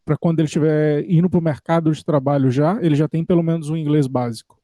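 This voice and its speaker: A man's voice: a little high, steady tone, fast talker, mellow, no room sound, clean studio sound. Chatty informal podcast host, man. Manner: chatty, informal, uses "cara". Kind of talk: casual interview.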